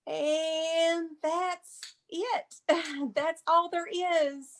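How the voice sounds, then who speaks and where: An actress playing a museum curator who narrates screenplay stage directions aloud. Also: female voice, very high-pitched, level tone, extremely slow, full character voice. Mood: apologetic.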